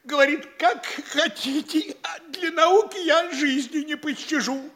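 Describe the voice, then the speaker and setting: A squeaky, fretful school official. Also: male voice, measured pace, sharp tone, full character voice. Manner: anxious, whining, sighing. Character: timid fretful male school superintendent, middle-aged. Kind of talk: classic stage radio play acting.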